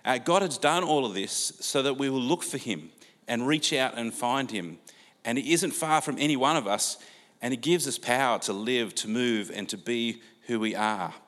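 An older Australian man giving a sermon in a relaxed, conversational way with many fillers, heard in a church hall with long reverb. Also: medium pitch, moderate pace. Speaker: preacher.

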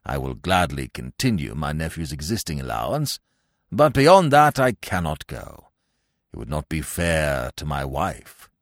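This is a man portraying a sentimental old English gentleman in a comic storybook. The voice is low and pompous, with a solemn, grandiloquent delivery. The recording is dry and close. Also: sing-song, measured pace, dry recording, full character voice. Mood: solemn, regretful. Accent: British.

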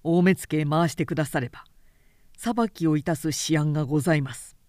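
Woman acting a dutiful samurai man, audiobook character, formal, quiet, firm, deliberate, dry recording. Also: deep voice, fairly even intonation, no room sound, lightly acted. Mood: calm confidence. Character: resolute, calm samurai man, principled and dutiful. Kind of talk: dramatic audiobook character reading.

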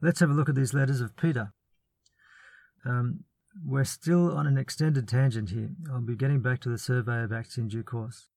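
A man with a mid-range voice gives a measured, scripted talk on biblical scholarship, reasoning step by step with a lightly wry edge. He is recorded close up in a dry, dead room with no echo. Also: medium pitch, steady tone, a little mellow. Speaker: biblical studies presenter.

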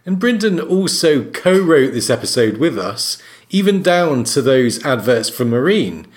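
A man's voice: mid-range voice, big pitch swings, measured pace. A man co-hosting a podcast in a conversational presenting style. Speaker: podcast co-host.

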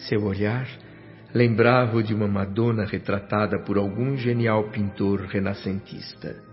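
An actor as low-voiced, reverent spirit narrator André Luís. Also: male voice, steady tone, measured pace, lightly acted. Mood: tender awe. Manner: reverent, literary, emotive storytelling. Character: André Luís, educated male doctor's spirit recounting the afterlife. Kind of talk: scripted radio drama narration. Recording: muffled radio drama with music behind.

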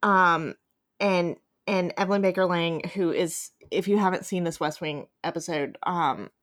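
A woman's voice: fairly low pitch, unhurried. A woman hosting a casual podcast discussion, speaking in an enthusiastic, emphatic and playfully teasing way. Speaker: podcast host.

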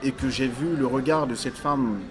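A man born in Africa, a stylist talking as an interview guest, halting and restarting his phrases as he searches for words. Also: mid-range voice, crowd noise. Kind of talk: casual interview conversation.

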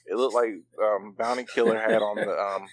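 A man's voice: fairly low pitch, little pitch movement, normal speed, low-quality recording. A man co-hosting a podcast in casual chat, rambling loosely with plenty of fillers and slang. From part Bahamian. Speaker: podcast co-host.

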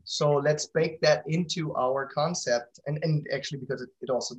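Rambling, candid man with a higher-set voice. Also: fairly even intonation, moderate pace. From outside the United States. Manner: rambling, self-correcting, candid. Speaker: guest, company founder and father. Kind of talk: casual conversational interview.

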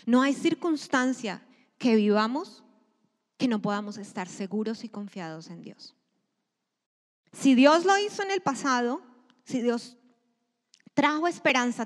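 Emphatic woman preacher in an echoing church. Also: a little high. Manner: emphatic, swells loud then drops to a hush. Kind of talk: sermon. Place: church sanctuary. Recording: long hall reverb.

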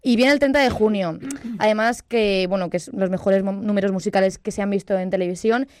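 A woman's voice: mid-range voice, brisk, darkish tone, no room sound. A woman with an accent from Spain, presenting series in a casual, colloquial, self-deprecating way. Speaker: series reviewer on a show.

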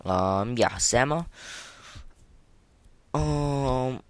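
A man hosting a casual gaming podcast, talking in a halting way and often repeating words as he restarts. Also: medium pitch, animated, no echo. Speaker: podcast host.

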